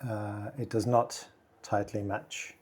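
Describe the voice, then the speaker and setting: A man hosting a casual software tutorial, speaking quietly and thinking aloud with many fillers as he works. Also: fairly low pitch, little pitch movement, slow. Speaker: video tutorial host. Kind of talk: casual screen-recording tutorial.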